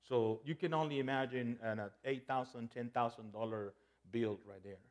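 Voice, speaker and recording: A man's voice: mid-range voice, fairly even intonation, moderate pace. A man from El Salvador, a guest preacher giving a playful, conversational sermon with frequent restarts and "okay?" checks.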